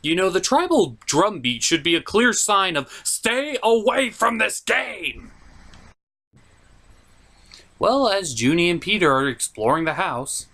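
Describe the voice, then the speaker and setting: An animated, sarcastic host giving a comedic movie recap as commentary. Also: mid-range voice, normal speed, hardly any pauses. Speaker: comedic film reviewer and host.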